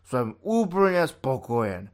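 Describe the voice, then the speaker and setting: A man's voice: medium pitch, sing-song, lightly acted. A man reading an audiobook voices a drunk, maudlin middle-aged army chaplain who rambles, whines and pleads. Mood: pleading. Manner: slurred, whining, rambling drunk speech. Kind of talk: audiobook character voice.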